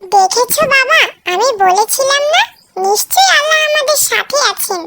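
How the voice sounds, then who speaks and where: A woman's voice: extremely high pitch, lively intonation, moderate pace, sharp tone, heavily acted. A woman voicing a clever, polite young village boy in a moral cartoon, eager to help. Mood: eager, hopeful. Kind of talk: cartoon voice acting, moral tale.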